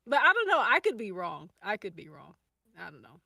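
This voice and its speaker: A woman's voice: mid-range voice, wildly swooping pitch, fairly quick, vocal fry, bright, forceful tone. A woman in casual conversation, thinking aloud a little hesitantly. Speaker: podcast cast member. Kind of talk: casual chat.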